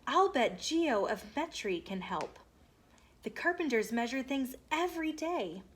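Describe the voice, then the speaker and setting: A woman's voice: high-pitched, very animated, measured pace, slightly rough, heavily acted. A woman voicing an anxious, earnest boy, a knight's son in a storybook, with exclaiming delivery. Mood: eager.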